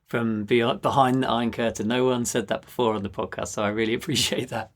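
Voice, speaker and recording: A man's voice: mid-range voice, normal speed, fairly bright tone. A man hosting a podcast, speaking in an enthusiastic, encouraging manner. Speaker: podcast host. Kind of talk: interviewing and scripted sign-off.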